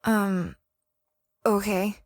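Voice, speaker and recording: A woman's voice: mid-range voice, steady tone, very slow, no room sound. A woman playing an adventurous, easily flustered young space explorer, narrating her story in an audio drama. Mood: hesitant meek. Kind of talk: first-person audio drama narration and dialogue.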